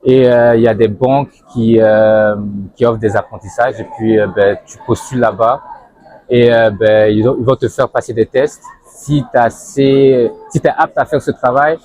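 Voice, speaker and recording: A man's voice: medium pitch, fairly even intonation, normal speed, a little mellow, dry recording. A young man giving casual interview answers, piecing them together with hesitations. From Zurich, Switzerland. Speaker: interviewee, banker and model.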